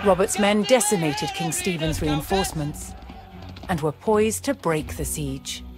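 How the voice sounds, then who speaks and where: A woman narrates in a slightly low register. Her delivery is measured and formal, in the manner of a scripted historical documentary for a game campaign. Music plays clearly behind her voice. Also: over a noisy crowd.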